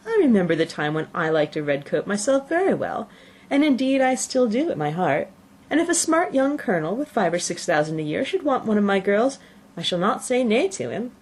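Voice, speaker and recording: A woman voicing a fussy, excitable middle-aged mother in a classic novel, with gushing, exclamatory delivery. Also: medium pitch, a little hiss or hum, pitched above the performer's own voice, close to an everyday voice. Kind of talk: audiobook character reading.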